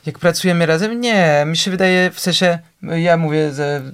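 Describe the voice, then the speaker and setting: A man's voice: a little high, normal speed, smooth. A young man, a musician, talking in an interview, hesitant with many fillers and restarts. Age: young adult. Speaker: guest, musician. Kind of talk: casual interview talk.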